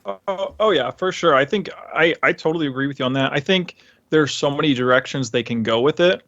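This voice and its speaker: Higher-voiced man chatting on a podcast. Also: brisk, a bit bright, no room sound, dull audio. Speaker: podcast co-host. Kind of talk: casual fan podcast chat.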